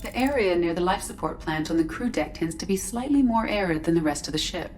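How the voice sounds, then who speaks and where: An actress playing a polite, composed artificial intelligence aboard a spaceship in a video game, precise and helpful. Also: female voice, lowish voice, lively intonation, a little fast, a little mellow, natural voice. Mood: calm, helpful. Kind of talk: video game cutscene acting.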